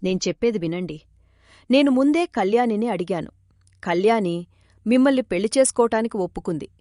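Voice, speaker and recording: A woman playing a gentle, self-sacrificing village wife in a children's moral cartoon, speaking earnestly. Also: mid-range voice, animated, a little fast, no echo, clean studio sound, close to an everyday voice. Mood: insistent, sorrowful.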